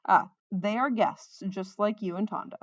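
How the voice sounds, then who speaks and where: A woman's voice: mid-range voice, moderate pace, no echo, dull audio, close to an everyday voice. A woman voicing a sensible storybook healer, wry and tolerant, who chides and sighs as she talks. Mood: chiding. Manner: sighing, wry, reproving.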